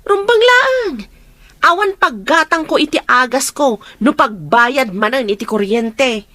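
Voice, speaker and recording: A woman's voice: sits high, lively intonation, unhurried, sharp tone, full character voice. A woman playing a demanding, self-pitying sickly stepmother in a radio drama, bossy and scolding. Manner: scolding, complaining. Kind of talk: Ilocano radio drama acting.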